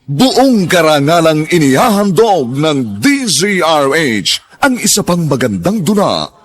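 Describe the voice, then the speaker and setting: A man announcing and narrating a radio drama with sweeping, drawn-out declamation. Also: on the high side, sing-song. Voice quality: husky.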